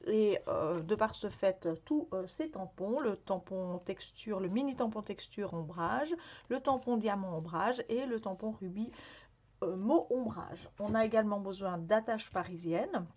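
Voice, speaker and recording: A woman's voice: mid-range voice, measured pace, darkish tone. A woman hosting a scrapbooking workshop, presenting supplies in a friendly, casual way with many hesitations. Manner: friendly, frequent hesitations with "euh". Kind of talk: casual product demonstration. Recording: slightly dull lo-fi sound.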